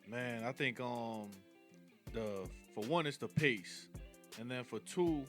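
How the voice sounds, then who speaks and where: A man in his thirties from Jamaica, a guest on a radio show, talking in an easygoing, relaxed way. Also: medium pitch, slow pace, faint music behind. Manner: easygoing, relaxed, says "you know what I'm saying" often. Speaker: guest rapper and videographer. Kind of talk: casual interview.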